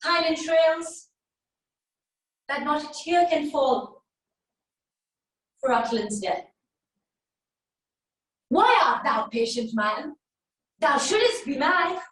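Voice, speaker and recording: A vengeful warrior queen in a Shakespearean stage monologue, her voice high and echoing around the room. She taunts with theatrical relish, drawing out her words slowly and mockingly. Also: expressive, a bit bright, slight background noise, full character voice. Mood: gleeful taunting. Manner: theatrical, taunting, drawn-out delivery with swings. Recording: echoey room, distant microphone.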